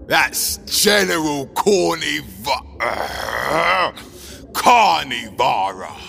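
An actor playing a gruff, cruel monster general in a fantasy audio drama, mocking and menacing. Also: male voice, a little high, sing-song, extremely slow, pushed and bright, faint music behind, heavily acted.

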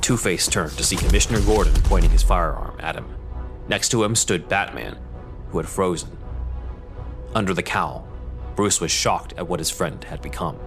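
A man narrating an audio drama in a steady, measured storytelling manner. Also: low-pitched, faint music behind.

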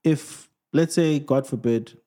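A man with a fairly high voice, chatting casually beside his partner on a couples' Q&A. He thinks out loud, restarts his sentences and throws in playful asides while giving advice. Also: slow pace. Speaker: podcast co-host, singer.

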